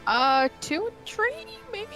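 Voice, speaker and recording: A woman's voice: very high voice, huge pitch swings, takes it slowly. A woman co-commentating on a live reaction stream in a casual, conversational way. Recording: music playing behind the voice. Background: background music.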